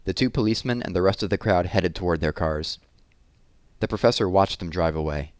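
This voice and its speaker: Low-voiced man reading a story aloud. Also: brisk, a little mellow, dry recording. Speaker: read-aloud host and narrator.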